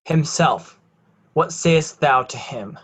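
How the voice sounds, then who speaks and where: A man's voice: a little high, takes it slowly, fairly bright tone, breathy edge, full character voice. A man playing a proud, treacherous young nobleman in Shakespearean reader's theatre, with formal, declamatory delivery. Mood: defiant.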